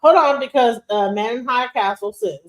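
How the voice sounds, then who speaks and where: A woman's voice: a little high, normal speed, over a noisy crowd, rough recording. A woman co-hosting a live stream, emphatically explaining and commenting on a video. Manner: emphatic, explaining, counts things out. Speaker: stream co-host.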